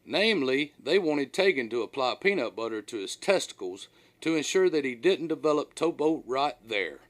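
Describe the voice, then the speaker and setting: Man narrating with very animated, swooping pitch. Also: normal speed. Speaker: author reading own audiobook. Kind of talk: scripted first-person storytelling narration.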